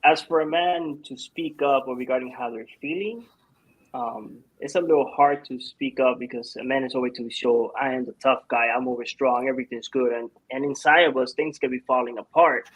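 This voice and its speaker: A man's voice: mid-range voice, fairly even intonation, brisk, slightly rough, rough recording. A man on a discussion panel, speaking candidly and from the heart.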